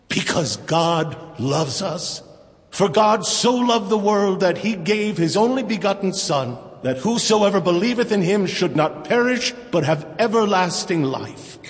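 An actor playing a fervent revival preacher, delivering a solemn, pleading sermon in a declamatory way. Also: male voice, sits high. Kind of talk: evangelistic sermon. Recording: long church-hall reverb.

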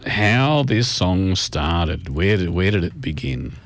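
A male interviewer with a low voice talks casually. He puts questions and clarifications to his guest in plain conversational speech. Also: sing-song, slow.

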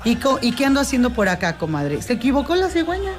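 A woman's voice: high voice, sing-song, fast, faint music behind. A woman with a Mexican accent co-hosting a radio show in casual, bantering chat. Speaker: radio talk show co-host.

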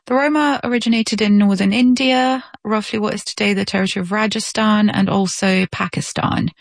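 A woman's voice: mid-range voice, steady tone, brisk, gravelly, no room sound, studio quality. A woman from Romania speaking as an author and interview guest, giving articulate, informative explanations.

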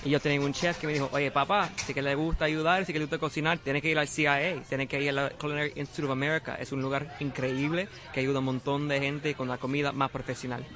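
A young man from Chicago with a Puerto Rican Spanish accent, a culinary student being interviewed outdoors, earnest and warm, repeating words for emphasis. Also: on the high side, little pitch movement, fast, few pauses. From Chicago, of Puerto Rican heritage. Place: outdoor parking lot.

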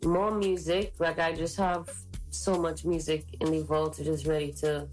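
A young woman from the islands chats about her music in a low, easy voice, quick with fillers and sure of herself. Faint music sits in the background. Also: level tone, a little mellow. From Trinidad and the Caribbean islands. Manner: bubbly, heavy use of "like", confident. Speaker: singer guest. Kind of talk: casual interview chat.

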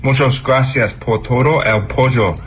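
Slow-spoken New Zealand footballer. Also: male voice, medium pitch, noisy background. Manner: slow, careful learner's Spanish.

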